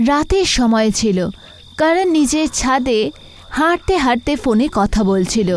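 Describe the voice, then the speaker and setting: A woman narrating a scripted horror story. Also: high voice.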